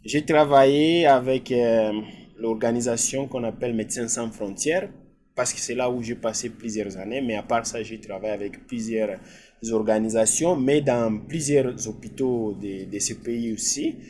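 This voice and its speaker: Man from DR Congo, middle-aged guest, halting and thoughtful. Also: medium pitch, a little mellow, a little hiss or hum. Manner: hesitant, many filler sounds. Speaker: guest physician. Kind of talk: interview answers.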